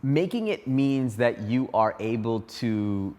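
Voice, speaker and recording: A man's voice: mid-range voice, expressive, takes it slowly, warm tone, long reverb tail. A man hosting an interview, talking loosely and rambling, with fillers and restarts. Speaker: podcast host, interviewer. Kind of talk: casual interview chat.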